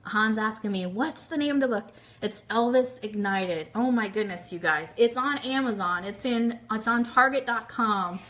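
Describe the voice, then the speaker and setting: A woman's voice: fairly high pitch, moderate pace, few pauses, a bit bright. A woman hosting a radio show livestream, chatting casually and enthusiastically with her audience and reading aloud. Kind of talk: casual livestream chat with book read aloud.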